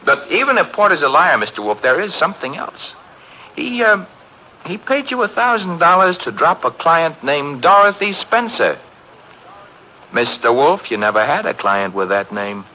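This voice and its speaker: An actor playing a breezy, wisecracking young assistant to a detective in a 1950s radio drama. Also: male voice, a little high, very animated, normal speed, pushed and bright, low-quality recording. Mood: wry. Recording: old radio broadcast with faint hiss.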